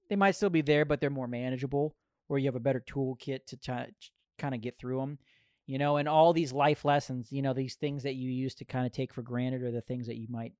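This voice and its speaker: A man in his thirties speaks at an average pitch in a very dry recording. His delivery is casual podcast chat, earnest and rambling. He repeats phrases as he builds a point and drops in swear words freely. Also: a little mellow, smooth, no echo. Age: 30s.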